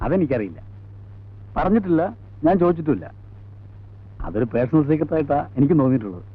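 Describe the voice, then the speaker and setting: A forthcoming male witness explains what he knows in an old film drama. His voice is fairly high and very lively, moving quickly, on a tinny soundtrack. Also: slightly rough. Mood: explanatory. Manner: animated, explanatory. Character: forthcoming male witness, a friend recalling confidences. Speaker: actor in a film. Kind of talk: 1980s film drama acting.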